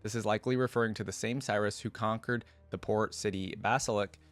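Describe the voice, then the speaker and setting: A man's voice: lowish voice, steady tone, fairly quick, a bit bright, faint music behind. A man hosting a reaction video, reading text aloud and adding casual commentary as he goes.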